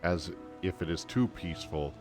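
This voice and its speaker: Man voicing a brooding low-voiced amnesiac warrior. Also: normal speed, a little mellow, faint music behind, natural voice. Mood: uneasy. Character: brooding amnesiac male warrior, earnest and troubled.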